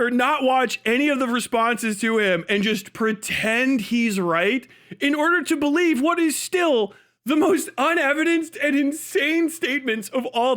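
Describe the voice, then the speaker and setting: A man's voice: extremely high pitch, sharp tone. A man ranting on a casual livestream, mocking and incredulous, swearing heavily as he reacts to a video.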